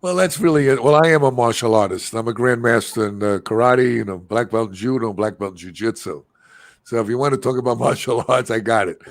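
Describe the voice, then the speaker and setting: A man's voice: mid-range voice, brisk, no echo. A man in his seventies hosting an interview, talking in a rambling, chatty way with frequent laughs. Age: 70s. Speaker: show host. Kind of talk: casual interview chat.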